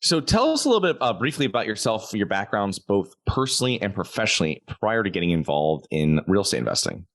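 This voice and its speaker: A podcast host, a man with a very low voice, reads a brisk sales pitch and then interviews his guests. He talks in a plain, steady way and repeats key points. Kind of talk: scripted ad read and interview.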